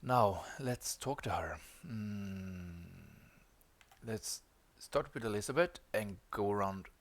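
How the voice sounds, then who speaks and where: A man's voice: on the low side, lively intonation, takes it slowly. A man giving casual, chatty commentary as he plays a video game. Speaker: video game let's player.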